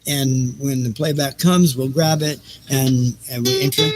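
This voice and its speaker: A man's voice: mid-range voice, fairly even intonation, unhurried, a little mellow, faint music behind, rough recording. A man hosting a livestream in casual, improvised chat, rambling as he thinks aloud and repeats his phrases. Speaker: host of a livestreamed reading and music show.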